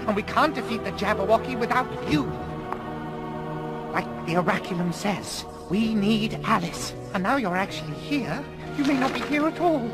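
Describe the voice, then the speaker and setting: Nervous fussy rabbit, high jumpy voice, loud music behind. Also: male voice. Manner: fretful, hurried, fussy. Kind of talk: game cutscene voice acting.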